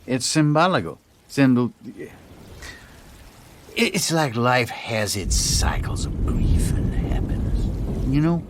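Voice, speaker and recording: An actor playing an eccentric, evasive man who muses slyly and haltingly in a video game. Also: medium pitch, very animated, heavy background noise. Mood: sly, musing. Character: eccentric male ceremony insider, evasive, folksy philosopher. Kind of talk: video game cutscene dialogue. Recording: rain and thunder ambience behind voice.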